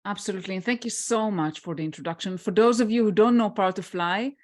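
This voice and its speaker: A woman's voice: mid-range voice, fast talker, a little mellow. A woman who hosts a podcast, introducing and thanking her guest graciously and asking her questions. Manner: gracious, thankful, lavish praise. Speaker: podcast host. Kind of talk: podcast hosting and interviewing.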